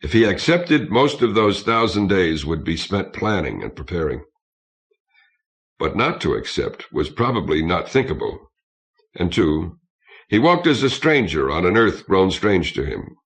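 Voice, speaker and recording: A man reading science fiction as a scripted audiobook narration, in a measured, literary way. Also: deep voice, very animated.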